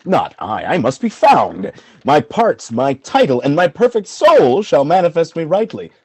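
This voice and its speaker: A man's voice: fairly high pitch, wildly swooping pitch, unhurried, husky, no echo, exaggerated voice. A man playing a proud, self-assured general in an amateur Shakespeare radio play, with stately, declamatory delivery. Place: home, recorded over a video call.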